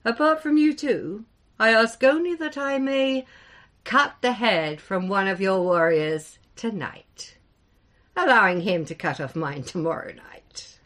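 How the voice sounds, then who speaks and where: A woman voicing a huge, calm and menacing male giant who speaks in a booming, confident way. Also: unhurried. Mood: commanding.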